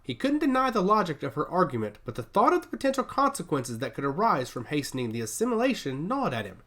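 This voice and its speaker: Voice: mid-range voice, sing-song, fast, fairly bright tone. Audiobook narrator for dystopian fiction, hugely varied intonation, scripted and dramatic delivery.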